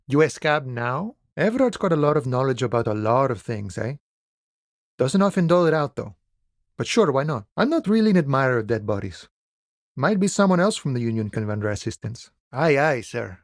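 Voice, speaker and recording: An actor playing an easygoing, wily striking dockworker in a video game, with folksy cowboy swagger and a theatrical, friendly drawl. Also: male voice, mid-range voice, very animated, normal speed, dry recording, studio quality. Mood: playful, musing. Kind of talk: video game character dialogue.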